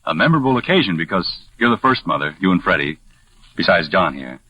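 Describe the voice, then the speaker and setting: A man playing an affectionate, expressive young husband in theatrical 1940s radio drama style. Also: sits low, fairly quick, slight background noise. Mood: warm excitement. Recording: dull vintage radio broadcast.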